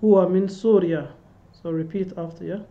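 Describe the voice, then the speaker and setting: A man's voice: high voice, steady tone, fast. Patient male teacher over a dull webcam. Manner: patient, repeats phrases for students to echo. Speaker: Arabic teacher. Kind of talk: online language lesson. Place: indoor room.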